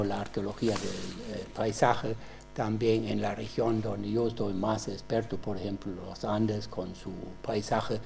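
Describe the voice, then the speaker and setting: Slow gravelly man. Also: lowish voice, dark tone, a little hiss or hum. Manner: slow, halting, many filler sounds. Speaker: thesis examiner. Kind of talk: formal academic questioning in non-native Spanish.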